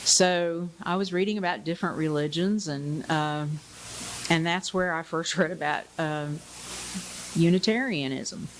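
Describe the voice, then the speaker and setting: A woman's voice: sits low, fairly even intonation, a little slow, a little hiss or hum, rough recording. A woman, sharing her personal story at a church service in a conversational way with light chuckles. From Bristol, Tennessee area. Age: 60s. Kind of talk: personal testimony. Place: church sanctuary. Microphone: pulpit microphone.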